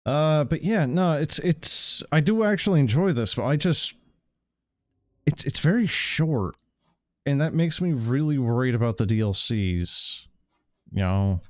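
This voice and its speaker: A man's voice: medium pitch, expressive, unhurried, no echo, clean studio sound. A man doing casual game stream commentary in a hesitant way, stammering and repeating words as he goes. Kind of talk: casual stream commentary, sometimes reading text aloud.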